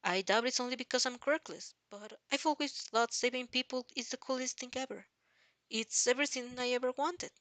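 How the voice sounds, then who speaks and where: Woman voicing an earnest, anxious teenage boy, pitched up. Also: a little fast, fairly bright tone, no echo, natural voice. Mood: sad.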